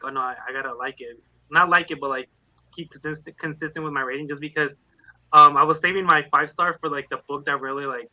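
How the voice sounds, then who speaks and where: Fast, bright-voiced man chatting about books. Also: on the high side, no room sound, highs cut off. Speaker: read-along panelist. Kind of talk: casual book discussion. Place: online live video chat.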